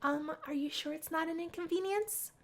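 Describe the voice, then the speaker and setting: A woman's voice: extremely high pitch, normal speed, pitched above the performer's own voice. A woman voicing a shy, bookish young female college student in a visual novel read-through. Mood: hesitant.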